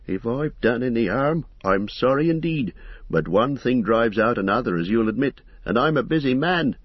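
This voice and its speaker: A man's voice: medium pitch, animated, normal speed, breathy edge, no echo, pitched above the performer's own voice. A man voicing a fussy, long-winded middle-aged innkeeper in an audiobook, flustered and apologetic. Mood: chatty, apologetic. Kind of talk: audiobook character voice. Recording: slightly muffled audio.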